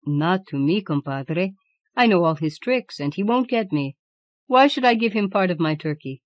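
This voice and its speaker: A woman's voice: on the low side, animated, normal speed, no room sound, muffled recording, natural voice. A woman voicing a shrewd peasant man in a storybook, folksy and self-assured. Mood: defiant, assured. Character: plain-spoken, confident peasant man, shrewd.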